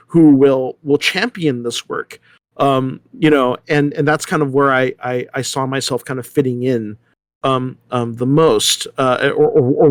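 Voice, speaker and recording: A man's voice: medium pitch, no room sound. A middle-aged American man talking casually as an interview guest, rambling and often hesitating and correcting himself. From San Diego, United States. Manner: rambling, animated storyteller with many hesitations and self-corrections. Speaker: theater artistic director, interview guest.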